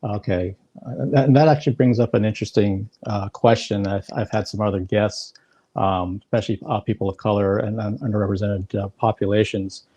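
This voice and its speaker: A man's voice: fairly low pitch, steady tone, fairly quick, dark tone, breathy edge. A man hosting an interview, asking long rambling questions with hedges and fillers. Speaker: interview host. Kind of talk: casual interview chat.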